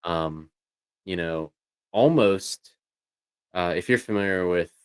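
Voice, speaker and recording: A man's voice: sits low, takes it slowly, clean tone, no echo, clean studio sound. A man chatting casually as a livestream co-host, talking in a rambling way with lots of "you know"s. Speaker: co-host of a voice tech show.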